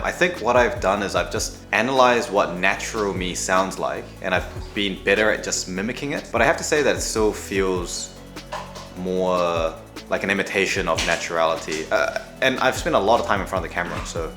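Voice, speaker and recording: A man's voice: sits low, fairly bright tone. A man with an accent blending New Zealand, Australian and American sounds, chatting casually and reflectively with lots of fillers as an education creator. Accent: mixed New Zealand, Australian and American. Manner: reflective, joking, many fillers. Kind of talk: casual behind-the-scenes chat. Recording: roomy studio with music underneath.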